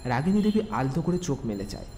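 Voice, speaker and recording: A man's voice: mid-range voice, very animated, fast talker, a little mellow, slight background noise. A man narrating a scripted audio story.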